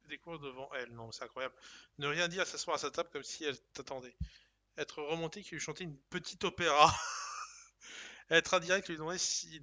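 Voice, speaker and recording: Bright, joking male streamer. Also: a little high. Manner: lively, joking, reads options aloud. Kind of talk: casual game commentary.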